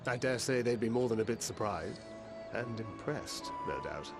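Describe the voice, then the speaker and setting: A thoughtful, well-mannered young man in a video game. His delivery is measured and polite. Music plays clearly behind him. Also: fairly low pitch, lively intonation. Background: game soundtrack music.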